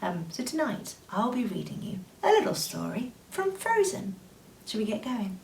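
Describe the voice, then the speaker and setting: A woman's voice: a little high, big pitch swings, normal speed, creaky, a little hiss or hum. A woman reading a children's bedtime story expressively, drawing out words for dramatic emphasis. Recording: roomy echo, distant from the mic.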